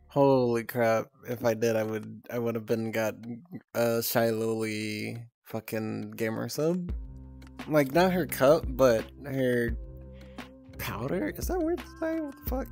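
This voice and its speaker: A young woman streaming from home, chatting casually in a rambling, giggly way and swearing freely. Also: a bass voice. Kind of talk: casual live-stream chat. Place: streaming at home, VR avatar on screen. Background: soft background music.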